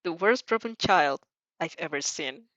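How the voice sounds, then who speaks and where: A woman voicing a weary male teacher in an amateur audio story, gruff and deadpan, grumbling but grudgingly caring. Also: low voice, a little slow, dry recording, muffled recording, voice pushed down.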